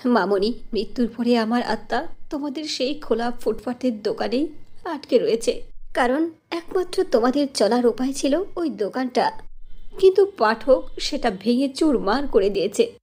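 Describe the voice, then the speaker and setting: A gentle mother's ghost from a cartoon fairy tale, as a woman plays her. She speaks in a solemn, soothing way. Her delivery is motherly and reassuring, as if to her children. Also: fairly high pitch, animated, moderate pace, breathy edge. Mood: solemn, instructing.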